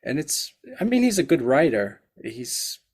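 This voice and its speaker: A man's voice: on the high side, big pitch swings, a little slow, darkish tone. A man, a podcast guest who reviews books, talking through ideas in a hesitant, thoughtful way with many fillers. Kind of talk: casual chat.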